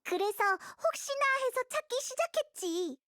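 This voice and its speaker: A woman voicing a tiny childlike fairy companion in a video game, curious, chatty and excitable. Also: extremely high pitch, moderate pace, no room sound, clean studio sound. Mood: eager, curious. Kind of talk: scripted game dialogue, Korean voice acting.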